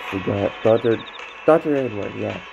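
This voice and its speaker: A man giving casual, halting commentary as he plays a video game. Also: lowish voice, vocal fry, highs cut off. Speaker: gaming streamer. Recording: loud game music behind. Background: video game music and dialogue.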